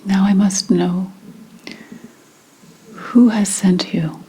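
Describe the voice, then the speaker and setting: A woman playing a wary, sardonic old witch in an ASMR roleplay, speaking in a breathy whisper mixed with soft, deliberate speech. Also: mid-range voice, steady tone, full character voice, rough recording. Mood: suspicious, probing. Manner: slow, deliberate, suspicious questioning with dramatic pauses. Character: wary, sardonic old 17th-century witch, potion maker.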